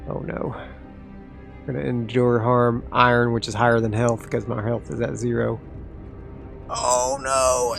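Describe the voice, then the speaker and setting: A man playing a solo tabletop game aloud, reading rolls and rules and reacting with excited asides. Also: mid-range voice, creaky. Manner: talks through dice rolls, excitable asides. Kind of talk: solo actual play, thinking aloud.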